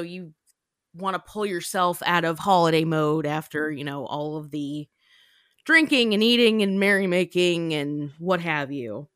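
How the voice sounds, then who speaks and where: A woman's voice: on the low side, a bit bright, no echo, studio quality. A woman co-hosting a casual podcast, chatty, laughing often and using many fillers. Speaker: podcast co-host. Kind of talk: casual chat.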